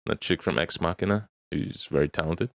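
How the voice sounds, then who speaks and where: A man co-hosting a gaming podcast, chatting casually and giving his opinions in a measured, conciliatory way. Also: sits low, vocal fry, dry recording, clean studio sound. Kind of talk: casual chat about games and films.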